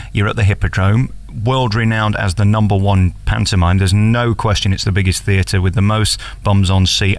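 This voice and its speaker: A man hosting a celebrity interview in a chatty, flattering and teasing way. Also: fairly low pitch. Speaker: interviewer and host.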